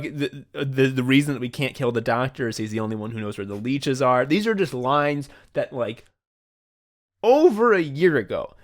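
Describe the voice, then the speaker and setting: Male podcast host, enthusiastic rambler, loose conversational delivery, casual swearing. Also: medium pitch. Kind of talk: casual behind-the-scenes chat.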